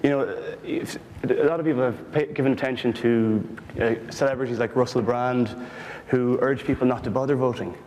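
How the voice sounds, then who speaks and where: A man from Ireland with an Irish accent, speaking earnestly but haltingly on a panel about political research. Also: mid-range voice, steady tone, darkish tone, long reverb tail. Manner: earnest, halting, many restarts. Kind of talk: panel discussion.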